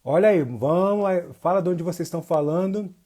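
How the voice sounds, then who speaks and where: A man's voice: high-pitched, brisk, mellow. A man with a northeastern Brazilian accent teaching casually as a livestream instructor, informal and slangy, often repeating phrases for emphasis. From Jacobina, Bahia, Brazil. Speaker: capoeira teacher. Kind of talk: casual livestream lesson, greeting viewers.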